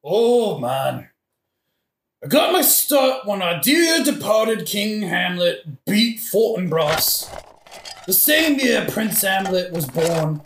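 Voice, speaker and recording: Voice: medium pitch, very animated, slow, fairly bright tone, full character voice. An actor in a satirical audio play playing a blunt, drunk, rambling old-hand gravedigger with a flippant, matter-of-fact manner. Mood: rambling, gossipy. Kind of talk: satirical modern-language audio play acting. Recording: echoey room with faint noise.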